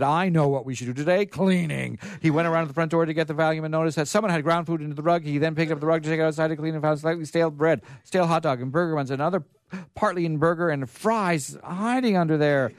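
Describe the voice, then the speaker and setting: A man's voice: fairly high pitch, no room sound. A man hosting a radio show, reading a story aloud live in a casual way and stumbling now and then into asides.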